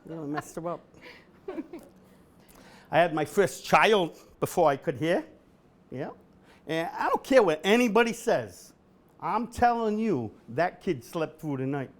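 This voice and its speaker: Deadpan middle-aged male stand-up comic. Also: fairly high pitch, unhurried, pauses often, a little hiss or hum. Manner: deadpan delivery, bursts into shouted singing. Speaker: comedian host. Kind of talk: stand-up comedy set. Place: event hall with a live audience.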